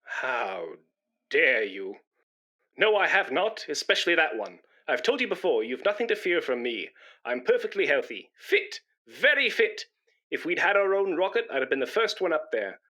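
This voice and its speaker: A pompous, self-important man in his thirties in a radio comedy. His voice sits fairly high with a forceful, bright edge. He rambles on proudly and is quick to take offence. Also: big pitch swings, full character voice. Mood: indignant. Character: pompous, patriotic, indignant British man of thirty-five.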